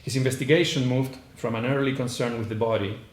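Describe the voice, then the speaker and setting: A man's voice: medium pitch, steady tone, quick pace. A man with a heavy Argentine accent presenting an academic paper at a conference, reading from notes with frequent "uh" fillers and false starts. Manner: hesitant, many "uh" fillers and restarts. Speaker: conference panelist. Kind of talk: academic lecture read from notes. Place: conference room. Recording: echoey room, faint background noise.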